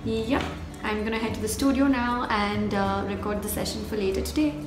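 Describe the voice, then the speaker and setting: A young woman talks straight to her viewers in a relaxed vlog. She sits in the middle of the usual female range and keeps things breezy and chatty, dropping plenty of "like" and "um", while music plays clearly underneath. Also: medium pitch, fairly quick. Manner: chatty, upbeat, peppered with "like" and "um". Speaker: vlogger. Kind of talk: casual vlog talk to camera.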